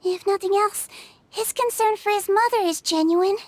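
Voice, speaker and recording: A woman voicing a gentle young female melusine nurse in a game, speaking softly with calm reassurance. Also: very high voice, moderate pace. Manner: soft, calm and kindly reassuring. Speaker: head nurse. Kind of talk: game cutscene voice acting.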